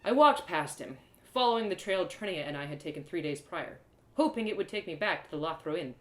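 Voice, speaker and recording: Expressive woman storyteller in a roomy space. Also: lowish voice, a little fast. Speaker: storyteller reading own fantasy story aloud. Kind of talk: expressive first-person storybook narration. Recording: roomy echo.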